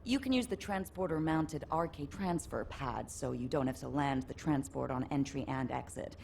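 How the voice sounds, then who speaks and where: An actress playing a composed, caring military operations officer in a video game, with a measured, serious, instructive delivery. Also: female voice, a bass voice, creaky, a little mellow, a little hiss or hum. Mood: businesslike. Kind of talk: video game mission briefing acting.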